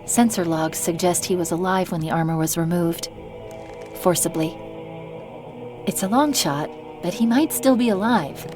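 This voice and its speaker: A woman's voice: lowish voice, moderate pace, long pauses, music in the background, close to an everyday voice. A woman voicing a helpful, curious military AI companion in a video game, chatty, wry and eager. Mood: concerned, focused.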